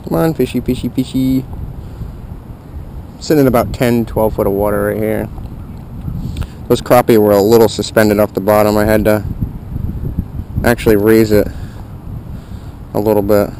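A man giving casual, slangy and excitable fishing commentary outdoors into a body-worn action camera. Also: fairly low pitch, normal speed, pauses often. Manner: informal, slangy, excitable at catches. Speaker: fishing vlogger. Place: outdoors on a frozen pond. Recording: windy, noisy action-camera audio. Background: wind noise.

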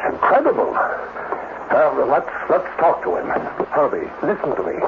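A man's voice: a little high, huge pitch swings, a little slow, vocal fry, exaggerated voice. A man playing an irritable, self-important robotics director in a 1950s radio drama, pompous and demanding. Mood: impatient, astonished. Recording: tinny, noisy 1950s radio recording.

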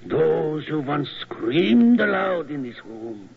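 Slow, gravelly sinister German madman. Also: fairly high pitch, very animated, a crowd in the background, exaggerated voice. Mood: gloating, eerie. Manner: deliberate, relishing, stilted syntax. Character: sinister, mad German museum keeper, gloating over old tortures. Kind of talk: old-time radio acting. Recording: muffled, noisy old radio recording.

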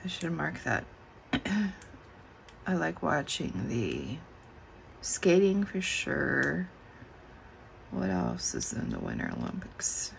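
A middle-aged woman with a gravelly, worn voice, as she is losing it. She talks slowly in a low register, musing aloud while flipping pages, with faint room ambience behind her. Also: huge pitch swings, slight background noise, poor audio. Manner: slow and musing, thinking aloud. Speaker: planner channel host. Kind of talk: casual plan-with-me chat. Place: indoors at a desk.

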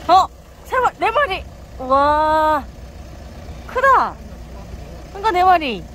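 Female outdoor fishing vlogger, high-pitched, exclamatory casual commentary, noisy seaside recording. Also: sing-song, slow, fairly bright tone. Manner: exclamatory, often admiring the catch. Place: outdoors on a windy seaside breakwater.

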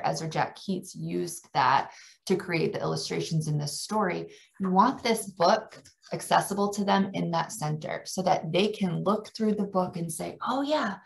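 A woman's voice: fairly low pitch, vocal fry. A woman presenting an informal training workshop for teachers over a video call.

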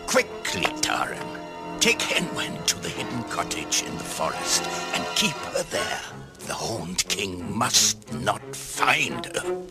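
A man's voice: sits low, huge pitch swings, takes it slowly, loud music behind the voice, heavily acted. A man voicing a solemn, worried old wizard in a theatrical storybook drama. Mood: worried, urgent.

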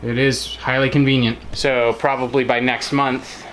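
A man's voice: medium pitch, little pitch movement, normal speed, fairly bright tone, slight background noise, low-quality recording. A man in his thirties chatting casually to viewers while cooking in his home kitchen, joking and explaining as he goes. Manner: easygoing, jokey, explains to viewers. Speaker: homestead vlog co-host.

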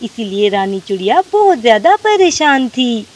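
A woman's voice: fairly high pitch, sing-song, moderate pace, a little mellow. A woman narrating a scripted children's story.

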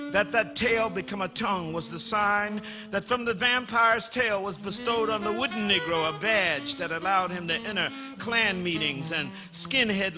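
A man performing dramatic spoken-word poetry, emphatic and incantatory, with drawn-out words and repeated phrases. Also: sits high. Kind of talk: dramatic political poetry performance over saxophone. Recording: long hall reverb, saxophone playing along. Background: live saxophone music.

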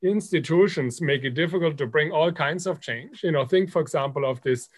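A man in his sixties with a German accent speaks in a fairly high voice on an online academic panel. He explains his points with emphasis, unscripted, into a computer mic in a home office. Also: fairly quick, breathy edge. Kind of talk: unscripted panel discussion over video call. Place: book-lined home office, indoors. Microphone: computer mic on a video call.

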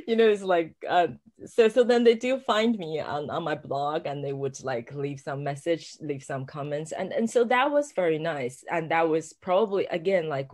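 A woman's voice: low-pitched, a little mellow. A woman author, a native Chinese speaker, talking in a hesitant, casual way with many fillers and frequent laughs. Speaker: author guest. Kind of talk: casual conversation.

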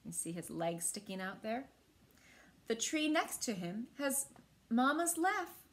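A woman in her thirties with an American accent, reading a picture book aloud to children with expressive, clearly phrased delivery. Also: on the high side, a little slow. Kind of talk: children's read-aloud storytelling. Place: small indoor room.

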